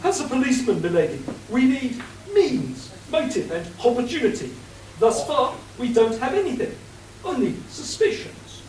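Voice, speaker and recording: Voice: medium pitch, very animated, normal speed, darkish tone, close to an everyday voice. A performer playing an earnest, methodical police inspector in a comic radio play, formal and patient. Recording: echoey room, distant mic, faint noise.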